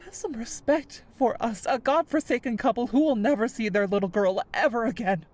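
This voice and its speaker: A woman's voice: on the high side, fairly quick, a little mellow, natural voice. An actress playing a weary, grieving mother in a video game, leaving a pleading yet resolute voicemail. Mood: bitter, grieving. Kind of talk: dramatic voicemail monologue in a game.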